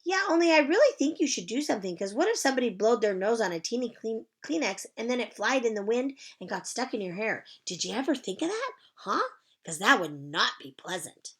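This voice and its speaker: A chatty little princess-costumed girl voiced by a woman bubbles along in a high, sing-song voice. Her pitch leaps all over, with a slight gravelly edge underneath. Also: sing-song, a little fast, exaggerated voice. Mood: eager chatter.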